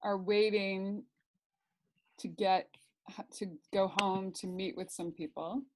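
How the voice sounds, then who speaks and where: A woman instructor leading an online class in a casual, halting way, thinking aloud as she goes. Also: mid-range voice, steady tone. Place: online class video call. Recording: clearly noisy video call audio, clicks.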